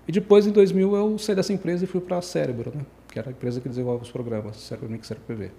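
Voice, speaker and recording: Older guy, gravelly and dark, rushes through his story with lots of restarts. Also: on the high side, flat delivery. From Brazil. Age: 60s. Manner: rambling, self-correcting storyteller. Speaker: guest engineer. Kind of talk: casual podcast interview.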